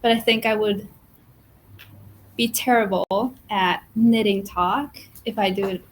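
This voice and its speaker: A woman's voice: mid-range voice, steady tone, measured pace, rough recording. A woman knitwear designer whose first language is Japanese, answering interview questions thoughtfully and modestly. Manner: thoughtful, modest, gentle laughs. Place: yarn shop with a small gathering.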